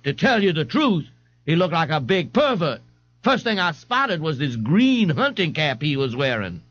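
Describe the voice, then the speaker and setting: A meek patrolman, played by a male narrator in a novel reading. He sounds weak and defensive, somewhat high, in a dry recording. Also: big pitch swings, normal speed, fairly bright tone, no echo, muffled recording.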